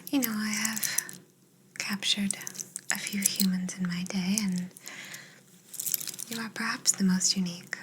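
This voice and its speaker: Slow, whispering female alien examiner, acted by a woman. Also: medium pitch, natural voice, poor audio. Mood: curious, intrigued. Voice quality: soft, breathy whisper. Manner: slow, soothing, measured instructions with murmured hums. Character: curious, gently clinical female alien examining an abducted human. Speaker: ASMR roleplay performer. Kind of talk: whispered ASMR personal-attention roleplay.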